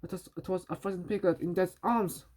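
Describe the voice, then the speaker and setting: Lower-pitched woman reading a story, haltingly. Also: steady tone, a little mellow. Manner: halting, repeats and corrects words while reading. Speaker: reader of a children's story. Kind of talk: reading a children's story aloud.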